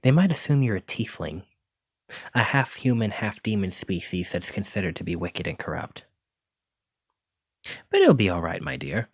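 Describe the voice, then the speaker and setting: A man plays a clumsy but kindly wizard who runs a bookshop and teaches magic. His pitch moves in lively swings, and he is fussy, gentlemanly and quick to apologize. The ASMR roleplay is recorded dry, with very little room sound. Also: breathy edge, no room sound, exaggerated voice. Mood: warm, reassuring. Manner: fussy, apologetic, gently courteous, stammering when flustered. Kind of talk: ASMR roleplay addressed to listener.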